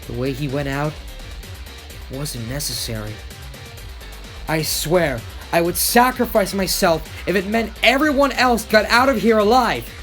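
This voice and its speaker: An earnest, protective teenage boy hero acted out by an amateur for anime game voice lines. The delivery is emphatic and dramatic, with pitch that moves around a lot. Faint music plays underneath. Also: fairly bright tone. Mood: somber.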